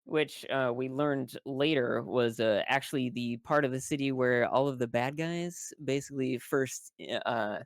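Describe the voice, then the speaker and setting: A player of a tabletop role-playing game giving a rambling, halting spoken recap in casual language, with wry phrasing and free swearing. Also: mid-range voice, no room sound, studio quality. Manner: swears freely, wry and colloquial.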